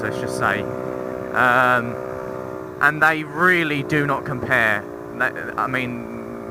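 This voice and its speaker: A man's voice: medium pitch, creaky. A young man talking casually and informally into a helmet intercom microphone while riding a motorcycle, rambling through a review with some swearing and self-mockery. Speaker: motorcycle vlogger. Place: outdoors, riding a motorcycle on roads. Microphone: intercom microphone inside a helmet. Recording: helmet intercom mic with loud engine noise. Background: motorcycle engine and road noise.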